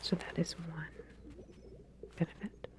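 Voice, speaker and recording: Woman, whispered delivery, unhurried pace, soft reassuring clinician manner, ASMR medical roleplay. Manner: soft, hesitant, explanatory, reassuring clinical talk. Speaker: ASMR roleplayer playing a lab researcher.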